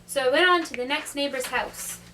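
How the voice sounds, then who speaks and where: A woman's voice: high voice, brisk, sharp tone, a little hiss or hum. A woman voicing an aggrieved, sly male wolf who tells his side in a chatty, self-justifying puppet show manner. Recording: distant microphone.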